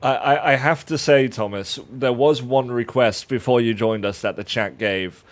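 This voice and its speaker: A man's voice: mid-range voice, moderate pace. A man hosting a game livestream while playing, chatty and playful. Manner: playful, laughs often. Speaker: stream host.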